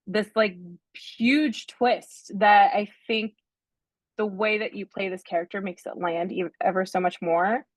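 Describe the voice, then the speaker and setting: A woman's voice: medium pitch, fairly even intonation, unhurried, no echo. A woman moderating a festival Q&A, chatty and casual, full of "like" and "right?".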